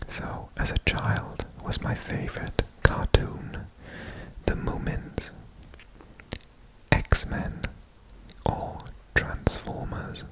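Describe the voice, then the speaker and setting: A person from Wales whispering in a breathy, deliberate way for ASMR, repeating words. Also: low-quality recording. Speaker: ASMR host presenting a personal guessing quiz.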